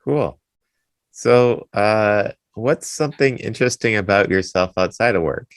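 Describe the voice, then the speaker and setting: Deep-voiced man hosting an interview. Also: unhurried, dry recording. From Wisconsin. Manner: friendly and easygoing, asks short questions. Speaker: podcast host. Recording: dry close sound.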